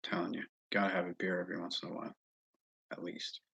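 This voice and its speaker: An American man chatting casually and haltingly on a livestream, often restarting his sentences as he thinks aloud. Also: low-pitched, completely flat delivery, creaky, a bit bright, slightly rough. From Northeast United States, mostly Massachusetts. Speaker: livestream host answering viewer comments. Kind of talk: casual rambling livestream chat.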